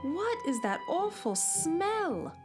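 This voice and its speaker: Woman putting on a high, disgusted castle cook. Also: big pitch swings, slow pace, music in the background, heavily acted. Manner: exclaiming, scolding. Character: disgusted grumpy female castle cook, sharp-tongued. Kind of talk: storybook character voice.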